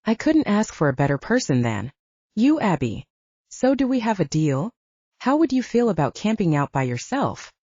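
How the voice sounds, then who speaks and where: An elderly storybook grandfather, as voiced by a woman narrator, earnest and gently coaxing, with mellow tone and lively intonation. The recording is dry and slightly dull. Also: mid-range voice, moderate pace, no room sound. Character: kindly, stubborn elderly grandfather, a Bigfoot enthusiast. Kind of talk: audiobook character dialogue.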